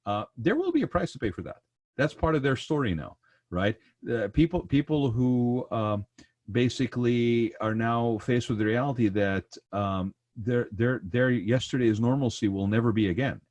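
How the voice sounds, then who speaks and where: A man's voice: mid-range voice, expressive, normal speed, a little mellow, no echo. A man from the Persian Gulf region hosting a podcast in casual conversation, rambling and repeating words, often tagging thoughts with "right?" and "you know". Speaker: podcast host.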